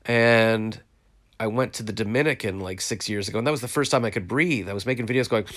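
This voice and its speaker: Man, congested, lowish voice, frustrated rambling chat, phone live stream. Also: quick pace, fairly bright tone. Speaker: vlogger hosting a live stream. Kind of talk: casual rambling chat to viewers. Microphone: phone, live stream from home.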